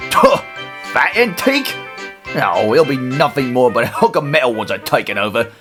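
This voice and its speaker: A man's voice: mid-range voice, very animated, normal speed, music in the background, lightly acted. A man voicing a rude, arrogant steam engine in an audio story, sneering and grumbling his insults. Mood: haughty scorn. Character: rude, arrogant male express steam engine, scornful and impatient.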